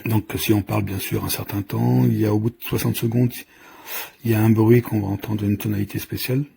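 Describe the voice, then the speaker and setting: A man's voice: lowish voice, steady tone, a little fast, vocal fry, mellow, slight background noise. A man giving an informal hands-on product demonstration, explaining in a hesitant, improvised way as he goes. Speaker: product reviewer.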